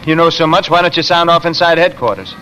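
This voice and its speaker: An actor playing a nervous truck driver in a 1950s radio drama, talking in a defensive, colloquial way. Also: male voice, fairly high pitch, fast talker, bright, forceful tone. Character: nervous working-class truck driver, wearily telling his story. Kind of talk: 1950s radio drama acting, first-person narration. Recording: vintage radio recording with music underneath.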